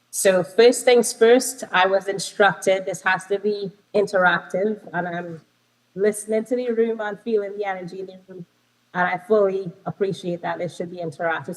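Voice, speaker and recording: A woman's voice: lowish voice, poor audio. A woman from Trinidad with a Trinidadian accent, giving an interactive conference talk as a lecturer over a video call, chatty with frequent ums and self-corrections. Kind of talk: interactive remote presentation.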